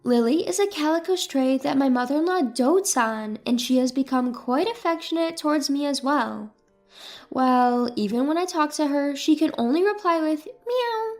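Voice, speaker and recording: A woman's voice: sits high, normal speed, darkish tone. A woman reading a scripted first-person dramatic story as its narrator. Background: music.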